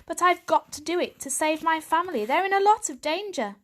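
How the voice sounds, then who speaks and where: An earnest, naive young male house cat, as a woman puts him on for a storybook, speaking high and eager. His lines come out bright and a little breathless with sincerity. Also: expressive, brisk. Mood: pleading. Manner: earnest, eager, slightly proud.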